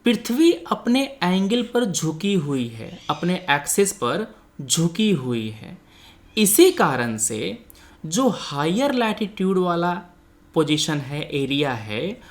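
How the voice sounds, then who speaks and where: A man teaching an exam coaching lesson, explaining didactically, mixing in English terms and often checking that learners follow. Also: a little high. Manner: didactic, frequently checks understanding; mixes Hindi with English technical terms. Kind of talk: explanatory lecture with quiz questions.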